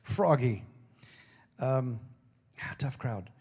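A man preaching a sermon with a throaty, hoarse voice, moving between emphatic declarations and casual, joking asides. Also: medium pitch, expressive, drawn-out words, darkish tone. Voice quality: gravelly, throaty. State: hoarse after singing. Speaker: pastor.

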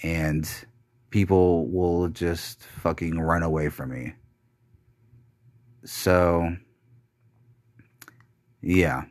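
A young man speaks in a very low, heavy voice, dragging his words out slowly with long pauses. He sounds bitter and blunt and swears freely. The recording is close and dry, with no room sound. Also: fairly even intonation, darkish tone, no room sound. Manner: slow, heavy delivery with trailing pauses. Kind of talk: personal confessional monologue.

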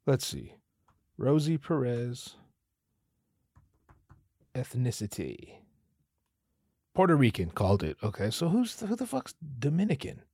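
Casting: male podcast host from the Pacific Northwest, mid-pitch, profane rambling monologue, dead-dry mic. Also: wildly swooping pitch, a little slow, pauses often, warm tone, dry recording. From US West Coast, Pacific Northwest. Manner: profane, rambling, self-interrupting. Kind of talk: solo comedic podcast rant.

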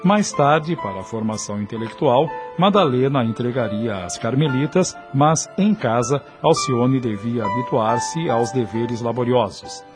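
A man's voice: medium pitch, lively intonation, a little slow, hardly any pauses. A man with a Brazilian accent narrating a radio drama in a solemn, formal storytelling style. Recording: music bed under the voice.

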